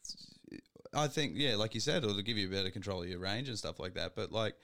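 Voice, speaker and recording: Young aussie guy hosting a podcast, smooth voice, rambles, keeps saying right?. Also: lowish voice, brisk, bright, forceful tone. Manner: rambling, many fillers, seeks agreement. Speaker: podcast host. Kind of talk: casual podcast chat.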